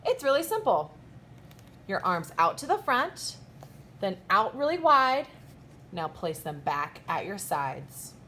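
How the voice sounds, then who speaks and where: A patient storybook father, read by a woman, reasons with his young son. The delivery is gentle and deliberate, with animated, instructive intonation. There is slight noise in the recording. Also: medium pitch, exaggerated voice.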